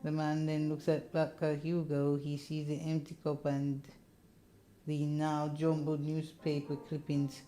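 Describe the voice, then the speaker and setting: A woman's voice: low voice, monotone, unhurried, warm tone, soft background music. A woman reading a visual novel aloud as a let's player, with slangy, playful asides. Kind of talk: casual narration and commentary on a game.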